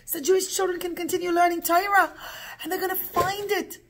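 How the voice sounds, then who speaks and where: A woman's voice: very high-pitched, flat delivery, a little fast, a bit bright, voice pushed up. A woman storyteller voicing a frightened elderly mother who wails and cries out in panic. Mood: panicked. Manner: panicked, wailing, shrieking. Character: frightened elderly mother screaming to drive police away.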